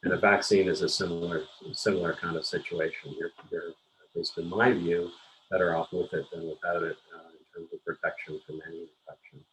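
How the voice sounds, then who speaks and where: A Canadian man in his fifties or older, explaining things as an interview guest in a measured, careful, halting way. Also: sits low, little pitch movement, a bit bright, rough recording. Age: 50s or older. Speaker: interview guest, pharmaceutical scientist and executive.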